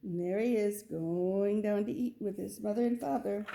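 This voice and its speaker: A woman's voice: mid-range voice, moderate pace, gravelly, dark tone, a little hiss or hum. A woman reading a picture book aloud to children in a storytelling style.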